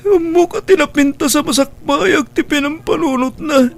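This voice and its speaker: A man's voice: very high-pitched, moderate pace, vocal fry, soft background music. A man playing a remorseful young son in a radio drama, plaintive and melodramatic, with a Filipino accent. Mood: grieving, remorseful. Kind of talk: Ilocano radio drama acting.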